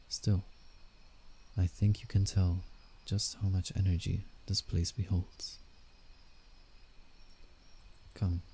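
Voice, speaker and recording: A man voicing a gentle, protective werewolf in slow roleplay ASMR, hushed and soothing with a slightly condescending air and a low, gravelly voice. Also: exaggerated voice. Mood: reverent, calm. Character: gentle, protective male werewolf guiding a fragile human. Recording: steady night insect ambience, background noise. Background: crickets and night forest ambience.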